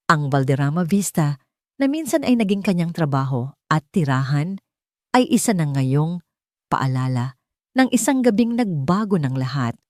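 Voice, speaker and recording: A woman's voice: low-pitched, mellow, no room sound, studio quality. A woman reading a scripted dramatic audiobook as the story's narrator.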